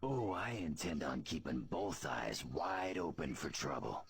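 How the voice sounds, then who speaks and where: An actor playing a gruff, suspicious tough-guy hero in a video game, blunt and surly with a gravelly rasp. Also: a bass voice, lively intonation, measured pace, heavily acted. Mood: distrustful, gruff. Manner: blunt, folksy tough talk.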